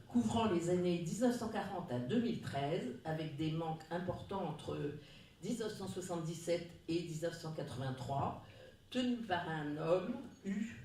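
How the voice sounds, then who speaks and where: Round-table speaker, measured formal reading, far from the mic, booming room. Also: darkish tone, slight background noise. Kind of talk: reading a prepared talk. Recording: very echoey room, distant mic.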